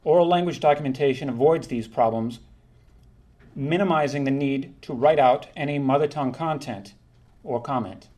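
A man delivers a prepared academic presentation in a measured, formal tone. His pitch sits in an ordinary middle range, and he now and then stops to correct a word before carrying on. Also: dark tone. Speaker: linguistics conference presenter. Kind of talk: reading a prepared academic talk.

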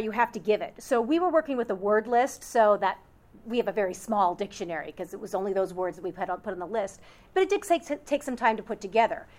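A woman presenting at an academic conference, answering audience questions about her research with an upbeat, explanatory manner. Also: mid-range voice.

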